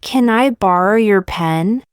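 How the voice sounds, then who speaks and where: A woman reading short practice sentences aloud for learners, saying each one twice, slowly and carefully. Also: medium pitch, clear, resonant tone, no echo, studio quality. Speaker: English teacher.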